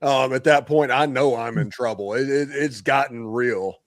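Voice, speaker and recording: A man from Tennessee co-hosting a casual sports podcast, telling stories quickly. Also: medium pitch, dry recording, studio quality. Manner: quick, storytelling. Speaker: podcast co-host.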